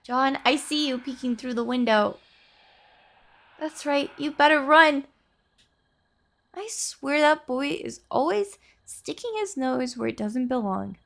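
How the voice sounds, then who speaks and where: A woman acting an affectionate, playful young girlfriend in an intimate audio roleplay, speaking softly and chattily. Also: sits high, lively intonation, takes it slowly, vocal fry, exaggerated voice. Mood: scolding. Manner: soft, tender, chatty with teasing asides.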